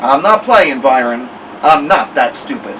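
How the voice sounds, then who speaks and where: A man voicing an excitable, urgent young boy in a story reading. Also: medium pitch, a little slow, distant mic, slight background noise, poor audio. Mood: defiant.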